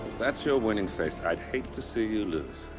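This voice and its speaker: Actor voicing a deep-voiced sardonic partner, music under him. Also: male voice, animated, normal speed, natural voice. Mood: wry. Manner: wry, easygoing and sardonic. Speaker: narrator and ally. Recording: game cutscene over music.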